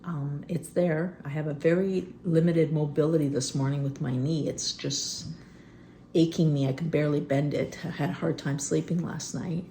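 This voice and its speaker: A woman in her fifties talks to camera in a loose, rambling way, full of fillers and pauses. Her low, gravelly voice sounds phlegmy, and she keeps clearing her throat. A faint hiss sits under the recording. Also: fairly even intonation, warm tone. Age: 50s. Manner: rambling, candid, many fillers. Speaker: vlogger. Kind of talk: unscripted personal vlog. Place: rural homestead.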